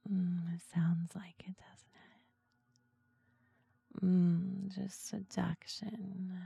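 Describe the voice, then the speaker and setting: Slow whispering woman, dark husky voice. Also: on the low side, no room sound. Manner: soft breathy whispering, slow and sultry. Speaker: ASMR audio creator. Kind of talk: whispered ASMR talk about voice technique.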